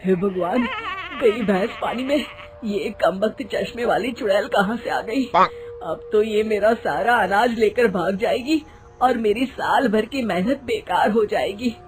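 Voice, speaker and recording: A woman's voice: mid-range voice, measured pace, natural voice. A woman voicing a frightened farmer in a cartoon story, lamenting and pleading. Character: frightened farmer praying for protection.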